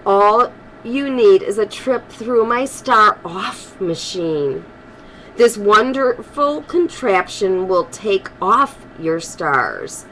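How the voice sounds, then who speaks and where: A woman's voice: on the high side, a bit bright, a little hiss or hum, heavily acted, low-quality recording. A woman voicing a sly male storybook swindler with coaxing sales patter. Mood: persuasive.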